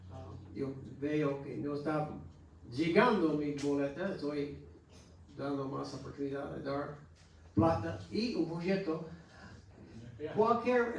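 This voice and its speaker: American guy preaching sunday school, kinda high voice, far from the mic in a big echoey room. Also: vocal fry, a little hiss or hum. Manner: earnest, exhorting with halting restarts. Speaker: pastor. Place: church hall. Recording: echoey church hall from far off.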